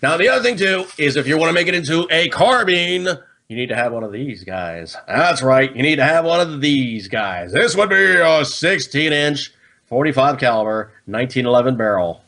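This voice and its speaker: A man's voice: medium pitch, animated, moderate pace, sharp tone. Chatty American man hosting a hobby show. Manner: folksy, chatty, slangy, with frequent "okay?" checks. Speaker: host of a hobby gun and gadget show. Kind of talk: casual unscripted explainer to viewers.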